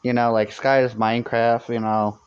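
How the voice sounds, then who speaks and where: A man's voice: level tone, moderate pace, clean tone. Rambling young man, lo-fi stream audio. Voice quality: fairly high-pitched for a man. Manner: rambling, many fillers and restarts. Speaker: live streamer. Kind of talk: casual live-stream chat.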